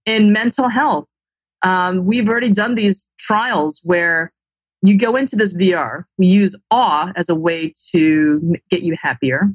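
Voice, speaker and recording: A woman's voice: fairly low pitch, unhurried, breathy edge, dry recording, rough recording. A woman with a New Jersey accent, talking enthusiastically as an interview guest and often ending points with "right?". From United States. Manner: enthusiastic, persuasive, frequent "right?" tags. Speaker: guest, tech founder. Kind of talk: interview conversation.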